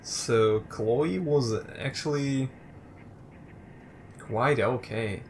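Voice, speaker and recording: A slow-paced man with a mid-range voice reacts casually while playing a game, with faint noise behind him. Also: mid-range voice. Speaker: player on a gaming channel. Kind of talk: casual let's play reactions.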